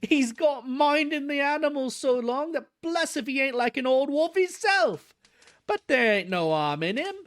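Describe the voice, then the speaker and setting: A man voicing a cheerful older woman, the keeper's wife, in a high put-on voice. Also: sing-song, normal speed, fairly bright tone, heavily acted. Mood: cheery.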